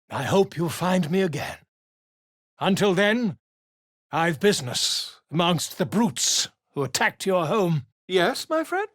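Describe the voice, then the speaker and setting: A pompous showy bard for a game, slow and drawn out, swoops way up and down, dry close studio sound. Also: male voice, sits high, no echo, exaggerated voice. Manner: theatrical, florid, flattering. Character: flamboyant pompous male writer and bard. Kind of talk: video game voice acting.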